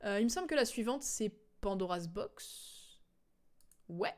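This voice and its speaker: A woman's voice: mid-range voice, lively intonation, measured pace. A young woman streams casual commentary on video game cutscenes in a mid-range voice. She explains things in a loose, rambling way, with plenty of slang and an informal, chatty delivery.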